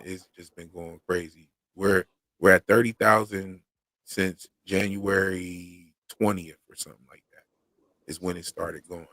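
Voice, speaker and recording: A man's voice: fairly low pitch, fairly bright tone, dry recording. A man hosting his own channel's livestream, chatting casually in slangy, rhythmic talk. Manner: slangy, talks in a loose rhythm; says he once stuttered heavily. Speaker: YouTube channel host on a livestream.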